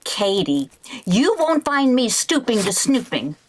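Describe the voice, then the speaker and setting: A woman playing an excitable, scheming, flighty housewife in a 1940s-style radio comedy, theatrical and full of exclamations. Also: fairly high pitch, unhurried, slight background noise. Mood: mock innocent. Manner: theatrical, exclamatory, mock-innocent. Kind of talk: 1940s radio sitcom acting.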